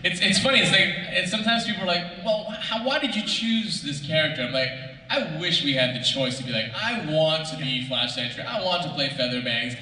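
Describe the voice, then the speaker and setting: Male panelist, bright and chatty, unscripted, distant mic in a reverberant room. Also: fairly high pitch, animated, fairly quick. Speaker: voice actor on a panel. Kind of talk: casual unscripted panel talk. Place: large convention panel room, audience questions. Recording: distant, echoey hall, faint noise.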